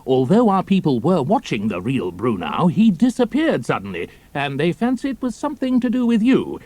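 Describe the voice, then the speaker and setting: Young British flyer, high lively voice, eager, chatty, colloquial, audio drama. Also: male voice, normal speed, no echo. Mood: breezy, informative.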